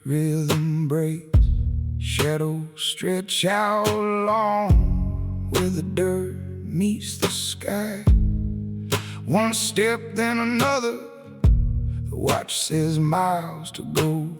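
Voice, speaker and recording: Female vocal, very slow, drawn-out delivery over prominent guitar. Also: fairly low pitch. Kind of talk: sung lyrics over music. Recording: song with clear guitar backing.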